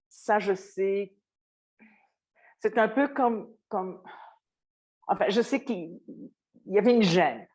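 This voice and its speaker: A woman's voice: mid-range voice, a little mellow, rough recording. An older woman from Belgium with a Belgian French accent, speaking thoughtfully in an interview and often restarting her phrases. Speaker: interviewee, former radio worker. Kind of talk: reflective interview talk.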